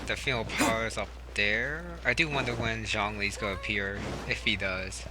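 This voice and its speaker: Low-key man commenting over a game. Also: steady tone, moderate pace, noisy background. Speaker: gaming channel player. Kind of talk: casual let's play commentary. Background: game music.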